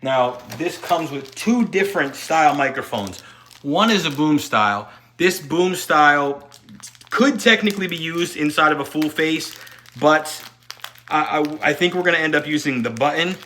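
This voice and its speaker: A man's voice: a little high, animated, moderate pace, bright, forceful tone, slight background noise. A man giving an unscripted step-by-step installation tutorial, talking as he works with his hands. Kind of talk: hands-on how-to demonstration, unscripted.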